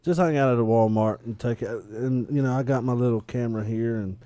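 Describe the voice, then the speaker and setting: A man from south Georgia with a Southern accent, co-hosting a casual talk show in a relaxed, joking, rambling way. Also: mid-range voice, brisk, no room sound. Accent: Southern American. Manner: laid-back, joking, rambling. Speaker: co-host. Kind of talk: casual chat.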